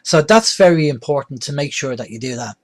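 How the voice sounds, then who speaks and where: A man's voice: on the high side, lively intonation, fairly quick. A man presenting an instructional tutorial in a plain-spoken, explanatory way. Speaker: presenter, radio tutorial host.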